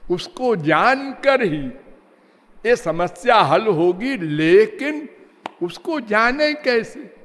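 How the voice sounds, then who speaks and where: Slow-preaching man in a reverberant hall. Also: high voice, very animated, a bit bright. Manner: slow measured preaching, drawn-out words, half-sung phrases. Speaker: spiritual teacher. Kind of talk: devotional discourse.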